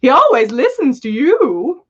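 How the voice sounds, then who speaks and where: A woman voicing a vain, whiny male dark lord in a haughty, self-pitying, theatrical way for a fan fiction story. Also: high-pitched, very animated, a little slow, slightly rough, lo-fi sound, full character voice. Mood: conspiratorial.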